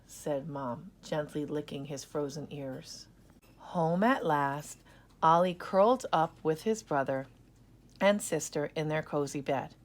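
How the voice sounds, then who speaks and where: A woman narrating a children's story in an expressive read-aloud style. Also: deep voice.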